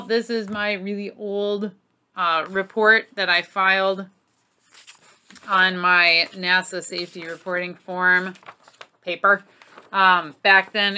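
Middle-aged female aviation instructor: forceful bright delivery, slightly low pitch, candid explainer style. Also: clean tone. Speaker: flight instructor hosting an aviation lesson. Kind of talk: informal explanatory lesson to camera. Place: small home office, indoors.

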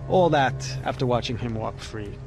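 A man's voice: mid-range voice, lively intonation, moderate pace, vocal fry. An actor playing a sardonic, world-weary man in a video game, speaking in a wry, hesitant way. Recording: music behind.

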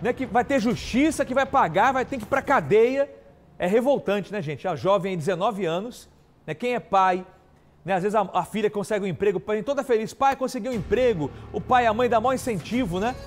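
Indignant high-voiced TV anchor. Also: male voice, brisk. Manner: indignant, repeats and addresses viewers directly. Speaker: news anchor. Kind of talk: emphatic crime-news anchoring. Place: TV studio.

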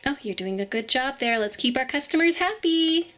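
A kindly queen of ice who keeps a beauty parlor played by a woman in children's doll play. Her voice sits on the high side. She gushes over everyone and encourages her helpers with effusive praise. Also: animated, moderate pace, a bit bright. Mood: gushing delight.

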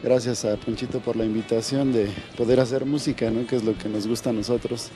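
Guy musician introducing himself on a tv show, medium pitch, music clearly behind him. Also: fairly even intonation, a little fast, mellow. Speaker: saxophonist guest. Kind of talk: brief spoken introduction in TV interview. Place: TV studio.

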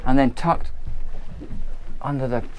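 A man's voice: medium pitch, slow pace, lo-fi sound, a little hiss or hum. A man giving a chatty, ad-libbed walkthrough tour of a motor boat, filmed handheld on board at a marina. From England. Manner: chatty, enthusiastic, loosely ad-libbed. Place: on board a motor boat at a marina, moving between cabins and decks.

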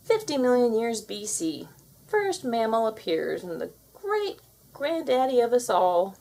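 A woman reads aloud from a picture book for children. She takes her time over each phrase and varies her pitch a lot. The style is expressive narration. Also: unhurried, vocal fry. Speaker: storybook reader.

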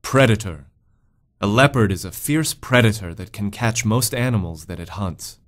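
Man, lowish pitch, scripted educational narration, vocabulary narrator. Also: moderate pace.

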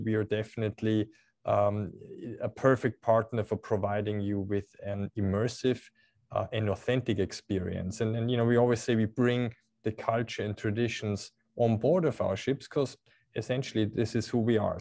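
Austrian man with a deep, dry voice. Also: a little mellow, dry recording. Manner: hesitant, many fillers and repeated words. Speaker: cruise company representative. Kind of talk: video call interview. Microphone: video call.

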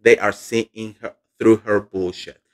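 A man's voice: lowish voice, measured pace. Indignant man dishing gossip. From Colombia. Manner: animated, indignant, emphatic repetition. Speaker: pop culture gossip host. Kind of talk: gossip commentary vlog. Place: home room indoors. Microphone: studio microphone on boom arm.

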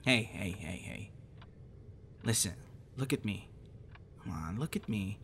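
A man playing a gentle, encouraging young voice actor in a soft, hesitant, reassuring ASMR boyfriend roleplay. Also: lowish voice, expressive, unhurried. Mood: earnest, reassuring. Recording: noticeable background noise.

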